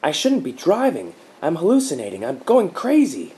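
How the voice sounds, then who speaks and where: A man voicing a panicked young man who yells in frantic bursts. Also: mid-range voice, moderate pace, voice pushed up, lightly acted. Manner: frantic, yelling.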